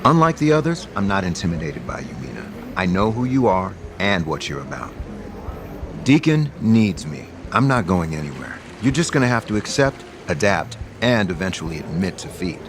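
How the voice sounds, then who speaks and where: Proud, defiant lover, very deep voice, soap drama with clear music underneath. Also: male voice, big pitch swings, normal speed. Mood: smugly defiant. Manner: assertive, sardonic, dramatic. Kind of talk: audio soap opera acting.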